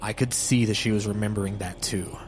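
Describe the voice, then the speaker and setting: Low-pitched man narrating horror. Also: steady tone, fairly quick, a little hiss or hum. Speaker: storyteller, podcast narrator. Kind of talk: scripted first-person horror narration. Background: music.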